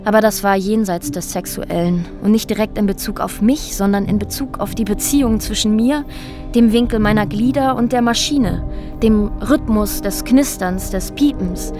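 An actress plays a woman in a radio drama, quietly looking back on a disturbing experience. Her voice sits at a medium pitch, measured and matter-of-fact, with small hesitations. Music plays clearly behind her. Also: lightly acted. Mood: matter-of-fact, reflective. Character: woman quietly recounting a disturbing, strange ordeal. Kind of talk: radio drama acting, reflective monologue. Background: music under the voice.

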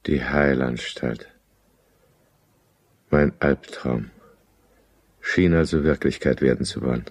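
Deep voiced anxious prisoner in a radio play, earnest, kinda formal. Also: male voice, takes it slowly, exaggerated voice. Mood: bitter narrating. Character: anxious, earnest male prisoner recounting his own downfall. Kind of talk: German radio drama acting and narration.

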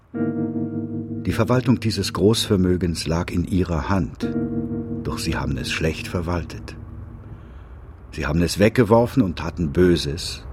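A solemn, pious man reads his letters in a radio play. His voice is very low and his delivery very slow, with music clearly behind him. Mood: solemn, admonishing. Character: solemn, pious male letter writer, penitent and admonishing.

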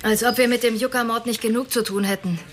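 A woman's voice: on the high side, steady tone, fast, background noise, lightly acted. Woman playing a brusque, irritable police detective. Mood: sceptical, brusque. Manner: brusque, sceptical, dry. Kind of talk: German radio crime drama acting.

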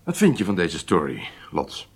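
Intelligence chief for radio drama: low-pitched, measured, authoritative man who briefs his agent methodically. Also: wildly swooping pitch, breathy edge, full character voice. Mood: businesslike. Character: commanding intelligence chief, measured, matter-of-fact, middle-aged man. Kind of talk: Dutch radio drama acting.

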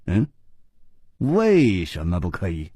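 A man's voice: low voice, wildly swooping pitch, slow, dark tone, no room sound, clean studio sound, pitched below the performer's own voice, exaggerated voice. A man voicing a confident, easygoing hero who teases his friend with a chuckle. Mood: teasing.

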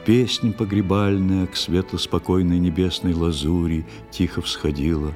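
A man narrating a literary fairy tale in verse, reciting it in a solemn, measured and expressive way. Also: low-pitched. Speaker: storyteller narrator.

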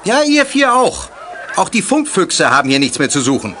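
A man's voice: high-pitched, huge pitch swings, normal speed, crowd noise, noisy background. An actor playing a stern, commanding school principal and father in a children's audio drama. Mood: barking, angry. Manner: strict, commanding. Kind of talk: 1980s German children's radio play acting.

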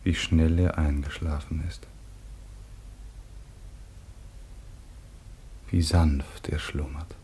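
Deep, grieving, devoted son. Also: male voice, fairly even intonation, unhurried, slight background noise. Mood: anguished, hushed. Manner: grave, hushed, theatrical. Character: Seth, grieving devoted son, gentle and grave. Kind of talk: classic German radio play, theatrical.

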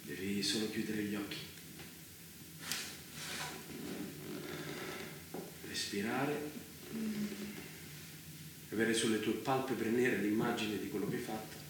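Actor as a defiant rebel, very echoey. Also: male voice, fairly low pitch, lightly acted. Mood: grimly quiet. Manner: challenging, confrontational. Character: indignant, morally defiant male rebel group leader. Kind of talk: intense theatrical stage acting. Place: theatre stage, recorded from afar. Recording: echoey theatre, distant mic, loud noise.

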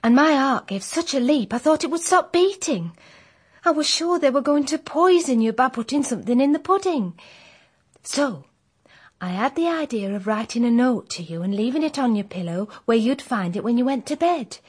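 An actress playing a timid, earnest young housemaid in a radio drama, deferential, with a working-class English accent. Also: female voice, high-pitched, expressive, moderate pace, breathy edge. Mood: anxious, apologetic. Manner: deferential, unpolished grammar.

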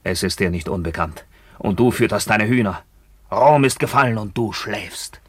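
A man's voice: on the low side, expressive, normal speed, fairly bright tone, husky, no room sound. An actor playing a proud, tormented Roman nobleman in a radio play, accusing in solemn, declamatory speech.

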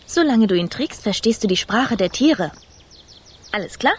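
A woman playing a haughty, bossy little mouse princess in a children's radio play, with a pert, commanding manner and a husky voice. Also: fairly high pitch, lively intonation, moderate pace.

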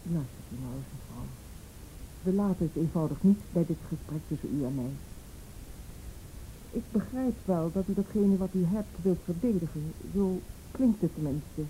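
An actress playing a firm, probing policewoman in a Dutch radio play, speaking in a measured, insistent and blunt way. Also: lowish voice, expressive, mellow, slight background noise, lightly acted, low-quality recording. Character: firm, probing policewoman confronting a mother over child abuse.

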